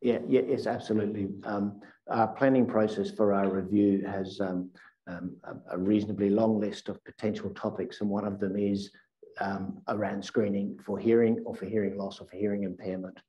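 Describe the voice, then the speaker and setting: A man in his fifties giving careful, halting formal testimony as a witness at a hearing. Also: fairly low pitch, level tone, dark tone, dull audio. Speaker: government inspector giving evidence as witness. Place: indoor hearing room, seated at a table. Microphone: table microphone.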